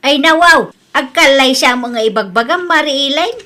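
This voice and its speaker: A woman acts out a nagging middle-aged mother in a comedy radio drama. Her voice is high and forceful, with an Ilocano Filipino accent. She exclaims and scolds stubbornly. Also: expressive, moderate pace. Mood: gossipy, disapproving.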